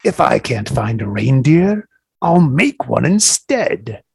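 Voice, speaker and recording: A snarling, scheming creature from a storybook, as a man reading the tale puts him on. His voice is gravelly and raspy, with a slow, grumbling pace. He sneers through every line. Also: medium pitch, big pitch swings, no echo, clean studio sound, pitched above the performer's own voice, heavily acted. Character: grumpy scheming green male creature.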